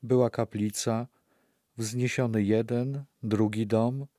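A man's voice: mid-range voice, steady tone, slow pace, warm tone, dry recording, studio quality, natural voice. A man playing a reflective older cardinal recalling the past solemnly in a radio play. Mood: reverent, reflective. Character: reminiscing Polish cardinal, reverent, reflective older priest. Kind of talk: radio play monologue, recollection.

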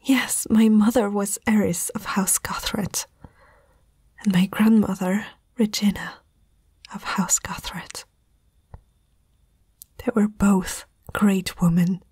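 A haughty young princess, acted by a woman in a fantasy audio roleplay. She speaks in a very breathy whisper, slowly and haltingly, proud but afraid. The sound is close and dry, with a soft, dark tone. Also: medium pitch, steady tone, dry recording. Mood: proud, puzzled. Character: proud, frightened eighteen-year-old princess confronting a night intruder. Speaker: ASMR roleplay performer. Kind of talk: whispered ASMR roleplay acting. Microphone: close microphone, dry.

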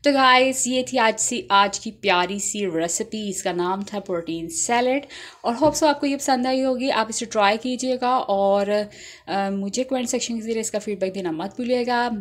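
A woman's voice: a little high, normal speed. A woman chattily walking viewers through a recipe as a home cook, mixing in English phrases. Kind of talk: casual recipe demonstration. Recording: noticeable background noise, kitchen clatter.

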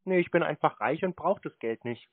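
A man chatting casually in a curt, deadpan, mocking manner as he baits callers. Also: on the high side, a little fast. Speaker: scambaiter taking scam calls. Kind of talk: casual phone chat. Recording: phone-like sound, faint noise, clicks.

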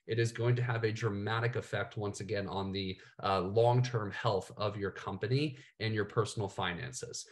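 A man in his thirties with a cold, presenting slides in a casual way, saying "all right" and "uh" a lot. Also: mid-range voice. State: has a cold, slightly nasal, coughing. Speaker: business coach presenting to coaches. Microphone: webcam, screen-share video call.

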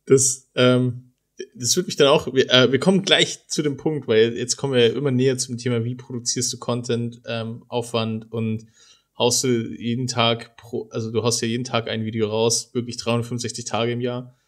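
Mid-pitched man hosting a podcast. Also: little pitch movement, normal speed. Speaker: podcast host, interviewer. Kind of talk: casual interview chat. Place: indoor room, video call.

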